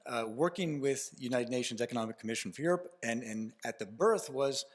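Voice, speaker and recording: A man from Canada with a Canadian accent, talking to a conference audience from the stage. His voice sits at an ordinary middle pitch. He talks in a loose storytelling way, full of "uh"s and restarted phrases. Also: fairly quick. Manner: informal and storytelling, with frequent restarts and fillers. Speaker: keynote speaker at a conference. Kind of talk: conference speech.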